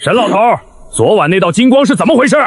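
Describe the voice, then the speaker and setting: An actor playing a coarse, boastful thug villain who makes threats in a fantasy drama. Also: male voice, high voice, very animated, quick pace, breathy edge, heavily acted. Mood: menacing, gloating. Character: swaggering bullying thug villain demanding treasure. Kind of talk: acted dialogue in a fantasy audio drama.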